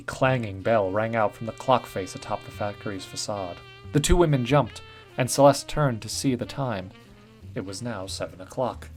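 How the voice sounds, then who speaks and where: A man narrating a written story in a steady, even storytelling read. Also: on the low side. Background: faint guitar music.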